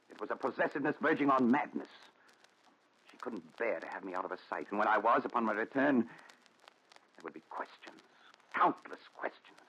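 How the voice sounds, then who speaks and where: An actor playing a haunted, exasperated husband in a 1940s radio thriller, speaking in an earnest, brooding, melodramatic way. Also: male voice, on the low side, fairly bright tone, breathy edge, exaggerated voice. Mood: tormented. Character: haunted, exasperated husband confessing to his doctor. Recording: dull, slightly noisy 1940s radio recording.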